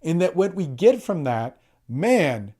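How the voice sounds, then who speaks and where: A man's voice: fairly high pitch, big pitch swings, slow pace, warm tone, slightly rough. A man hosting a solo podcast, talking bluntly and profanely, often restarting words and acting out quotes. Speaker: podcast host and coach.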